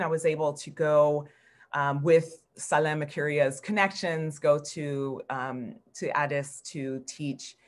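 An American woman in her forties giving an informal career talk as a professor, reflective and halting, with emphatic repetitions. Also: low voice. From Newark, New Jersey, United States. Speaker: art history professor, guest speaker. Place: indoor room at home, on a video call. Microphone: video call computer microphone.

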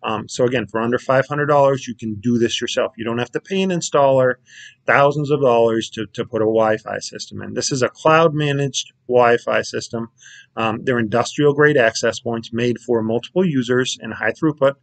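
A man in his thirties explains a technical setup in a relaxed, plain-spoken way, often checking in with the listener. His mid-pitched voice has a gravelly, fry-heavy edge. He talks into a webcam mic in a small office. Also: normal speed. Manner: casual, plain-spoken, checks in with "okay?" and "right?". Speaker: security installer giving a tutorial. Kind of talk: screen-share tutorial.

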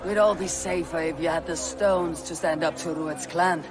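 An actor playing a steely Viking warrior in a video game, with a blunt, dry, confident manner. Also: male voice, on the high side, fairly even intonation, normal speed, lightly acted. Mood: mocking. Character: steely, taunting Viking warrior, protective of clan. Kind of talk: video game cutscene acting. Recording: music behind the voice.

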